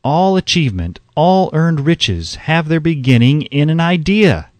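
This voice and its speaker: A man reading an audiobook as scripted narration, in a measured, emphatic way, close to the microphone. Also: on the high side, very animated, a little mellow, no room sound.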